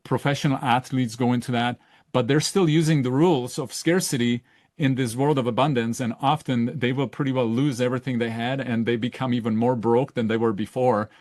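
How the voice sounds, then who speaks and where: A middle-aged man from Czechoslovakia hosts a casual podcast chat at a mid pitch. His voice is close and very dry. He gropes for words, restarts his sentences and checks in with the listener as he talks. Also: fairly even intonation, brisk, a little mellow, no room sound. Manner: searching, self-correcting, with frequent "right?" tags. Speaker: podcast host. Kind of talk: casual conversational interview.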